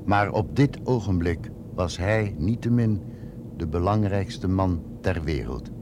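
A man's voice: deep voice, slow, noisy background. A man reading scripted narration for a radio drama.

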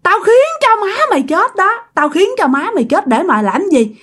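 A vengeful female ghost, acted by a woman storyteller, with a high, wildly swooping Southern Vietnamese voice. She is jealous and bitter, hammering repeated accusations and curses at the one who betrayed her. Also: very animated, moderate pace, fairly bright tone, slightly rough, exaggerated voice. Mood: vengeful, cursing. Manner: accusing, repeats phrases insistently, bursts of menacing laughter.

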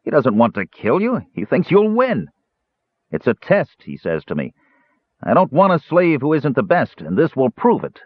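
A shrill, cunning dwarf slave-owner, as a male narrator puts him on, snarling and cackling with sly menace in a high, pinched voice that swings up and down. The sound is dry and squeezed into a thin, phone-like band, like a storybook read down a line. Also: wildly swooping pitch, normal speed, dry recording. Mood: gloating.

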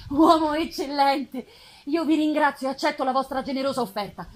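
A woman's voice: high-pitched, natural voice. A woman voicing an anguished, vengeful outcast male monster in an impassioned, theatrical gothic reading. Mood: grateful, pleading. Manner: impassioned, theatrical, drawn-out emphasis. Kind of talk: dramatic gothic novel reading. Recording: live festival recording with background noise.

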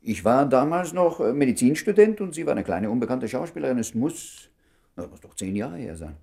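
An actor playing a concerned, familiar doctor friend in a German radio play, speaking in a dry, measured, understated way. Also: male voice, medium pitch, big pitch swings, creaky, mellow. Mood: cautious, probing.

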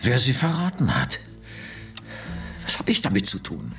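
A man's voice: medium pitch, huge pitch swings, moderate pace, creaky, husky, distant mic, soft background music, heavily acted. A man playing a cornered, indignant director. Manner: indignant, defensive. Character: cornered, blustering male company director. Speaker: company director. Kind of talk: radio play acting. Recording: voice set back from mic.

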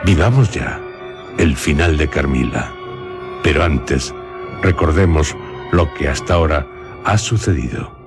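A man with a Castilian Spanish accent introducing a radio horror drama in a solemn, theatrical, suspenseful way, pausing between short phrases. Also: extremely low pitch, animated, creaky. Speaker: host and narrator of a radio horror drama series. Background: music under the voice.

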